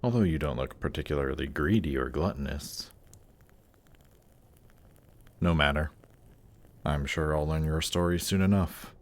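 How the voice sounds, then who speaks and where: Man playing a very deep, suave demon prince. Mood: soft, coaxing. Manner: polished, courtly, condescending, measured. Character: suave, haughty demon prince ruling a circle of Hell. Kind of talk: scripted fantasy roleplay audio drama.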